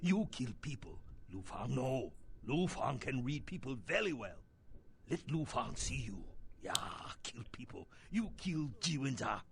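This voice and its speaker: A gravelly, swooping Chinese-accented gangster, boozy and cajoling in broken English. He is a sly game character who talks about himself in the third person, over noisy ambience. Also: medium pitch, sing-song, a little slow. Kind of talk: video game character acting. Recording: clear background noise, game ambience.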